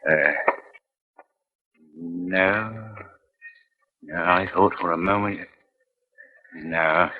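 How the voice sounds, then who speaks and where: An actor playing an agitated, embittered assault victim burning for revenge, halting and then bursting out, in an old-time radio drama. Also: male voice, deep voice, slightly rough, exaggerated voice. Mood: hesitant, tense. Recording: muffled old radio broadcast.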